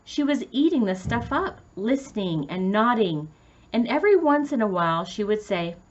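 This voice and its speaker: A woman's voice: medium pitch, lively intonation, normal speed, dull audio. A woman narrating a children's story in a scripted read-aloud style.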